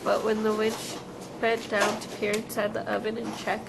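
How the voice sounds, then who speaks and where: A woman's voice: mid-range voice, monotone, moderate pace. A woman reading scripted storybook narration aloud, occasionally stumbling on a word. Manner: reads aloud, stumbles on words. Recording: clearly noisy live recording.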